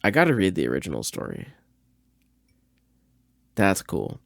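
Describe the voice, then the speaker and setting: A man's voice: sits low, wildly swooping pitch, normal speed, vocal fry, dry recording, studio quality. A man hosting a podcast, chatting informally and slangily in reaction to what he hears. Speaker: podcast host. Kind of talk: casual reaction chat.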